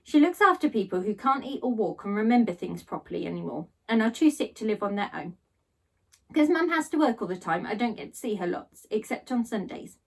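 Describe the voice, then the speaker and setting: A woman reading a children's story aloud in an expressive, animated storytelling style. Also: mid-range voice, brisk, distant mic, poor audio. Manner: animated read-aloud delivery. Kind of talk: expressive storybook narration in first person.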